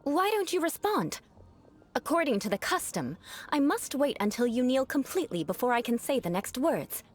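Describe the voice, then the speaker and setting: A woman's voice: high voice, big pitch swings, moderate pace, soft background music. A woman playing a proud young noblewoman knight with grandiose, formal aristocratic speech in an anime video game. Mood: haughty.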